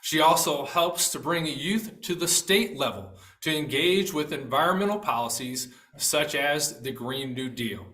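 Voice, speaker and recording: A man moderating a church panel, reading out guest introductions in a steady, formal way. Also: fairly high pitch, a little slow, hardly any pauses, roomy sound.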